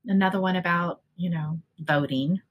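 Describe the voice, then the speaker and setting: A woman's voice: fairly low pitch, fairly even intonation, moderate pace, dull audio. A woman chatting casually as a panelist, offhand and dryly nonchalant. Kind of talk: casual chat.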